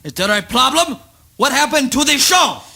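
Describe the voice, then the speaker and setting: A man's voice: very high voice, very animated, moderate pace, creaky, bright, forceful tone, full character voice. A man playing an anxious, bossy underling in a spoken word play, theatrical and commanding. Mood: alarmed.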